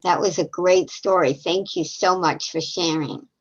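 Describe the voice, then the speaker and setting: A woman's voice: on the low side, fairly even intonation, unhurried, breathy edge. A woman hosting a storytime on a video call, encouraging readers and introducing the next group. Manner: encouraging, thanks each reader. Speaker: storytime host. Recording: dull lo-fi video call audio.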